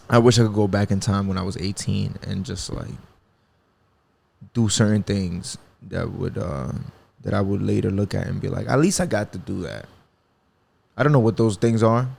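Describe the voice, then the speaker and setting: Male host, 20s, lowish gravelly voice, rambling casual podcast monologue. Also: darkish tone. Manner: rambling, reflective, swears freely, many fillers. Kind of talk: casual solo podcast talk.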